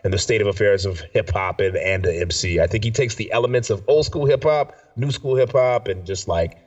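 A man's voice: lowish voice, fairly quick. A man hosting a casual show, slangy and enthusiastic, sounding nasal and stuffed up with a cold, repeating himself for emphasis. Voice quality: nasal, congested. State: sick with a stuffy nose. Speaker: radio show and podcast host. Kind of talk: casual chat. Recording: distant mic, dull lo-fi sound.

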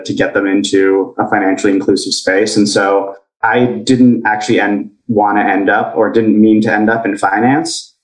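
A young man with an American accent, answering interview questions in a relaxed way with lots of "like" and "kind of". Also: low voice, echoey room, recorded from a distance, rough recording. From grew up in Indonesia. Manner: relaxed, uses "like" and "kind of" a lot. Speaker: guest.

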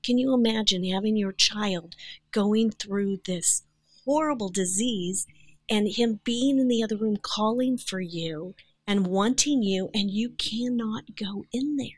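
A woman's voice: mid-range voice, unhurried, dry recording. An older woman speaking as an actress guest on a podcast, talking in an earnest, heartfelt way. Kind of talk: casual podcast chat.

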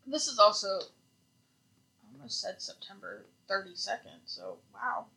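A woman co-hosting a podcast whose voice has a bright, sharp edge. She talks in a relaxed, casual way and keeps throwing in dry, teasing one-liners. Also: medium pitch, measured pace, vocal fry, rough recording. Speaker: podcast co-host. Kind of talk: casual chat.

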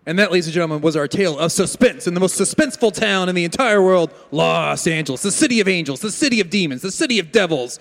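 Man acting a high-voiced, reverberant radio-play character. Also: lively intonation, fast, few pauses, fairly bright tone. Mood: ominous grandiose. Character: flustered apologetic messenger, also grandiose radio announcer. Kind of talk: parody of old radio drama. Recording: long hall-like reverb.

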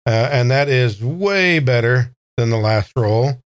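A man's voice: mid-range voice, lively intonation, slow pace, dry recording. Jokey male tabletop player, dry close sound. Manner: jokey, playful exaggeration. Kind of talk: casual actual-play game chat.

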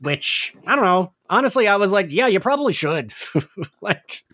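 A man's voice: high-pitched, very animated, normal speed, pushed and bright, dry recording, studio quality. A man chatting casually as a podcast co-host, often quoting his own reactions in a conversational way. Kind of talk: casual recap chat.

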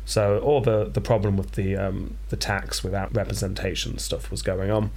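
A man's voice: low-pitched, level tone, moderate pace, a little mellow. A man hosting a casual chat, telling a history story with dry, jokey asides. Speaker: podcast host telling history.

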